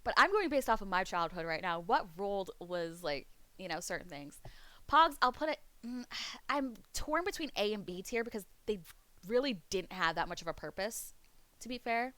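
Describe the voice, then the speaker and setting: Woman streamer, casual rambler, voice fading hoarse, mid pitch, dry close mic. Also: big pitch swings, normal speed, a bit bright, dry recording. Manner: rambling, laughs often, reads chat aloud. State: losing her voice. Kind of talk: casual live-stream chat. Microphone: desk mic on a webcam stream.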